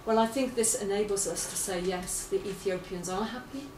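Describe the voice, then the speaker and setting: A woman's voice: mid-range voice, moderate pace, darkish tone. A woman in her fifties giving an interview answer as an award recipient. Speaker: honorary award recipient, education charity worker. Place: small indoor room with whiteboard. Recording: roomy, noisy, slightly distant mic.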